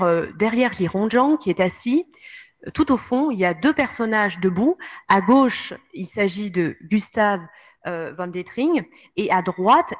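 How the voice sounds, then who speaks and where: A woman with a French accent giving a history lecture from slides, hesitating often with fillers. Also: mid-range voice. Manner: many hesitations, frequent "euh".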